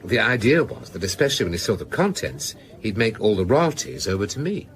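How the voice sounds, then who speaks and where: An actor in a radio drama playing a rueful, sardonic novelist who confesses in a measured, hesitant way. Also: male voice, on the low side, very animated, a little hiss or hum. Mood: wry, resigned.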